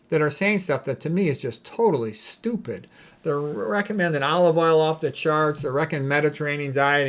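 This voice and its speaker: A man speaking as a doctor in an informal lecture, reading out quotes and commenting on them in a blunt, emphatic, opinionated way. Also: a little high. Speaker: doctor commenting on diet and medicine.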